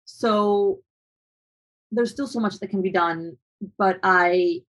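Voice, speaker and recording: A woman's voice: medium pitch, slow. A young adult woman photographer from Colombia, answering interview questions in a thoughtful, hesitant way with frequent restarts.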